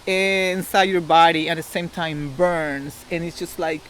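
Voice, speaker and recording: A woman's voice: fairly low pitch, fairly even intonation, unhurried, low-quality recording. A woman with a Costa Rican Spanish accent speaks as an interview guest. She explains her own experience candidly in a conversational way, with slight noise in the background. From Costa Rica. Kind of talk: personal storytelling in casual interview.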